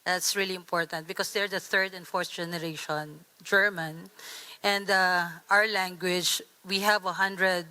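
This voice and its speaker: A woman's voice: on the low side, steady tone, unhurried, sharp tone, low-quality recording. A woman with a Filipino accent speaking reflectively and earnestly as a panelist. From Philippines. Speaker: writer on a discussion panel.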